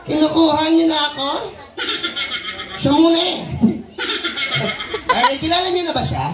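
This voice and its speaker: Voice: high voice, steady tone, a little slow, fairly bright tone. A Filipino ventriloquist hosting a children's puppet show with playful live banter. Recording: echoey venue with crowd noise.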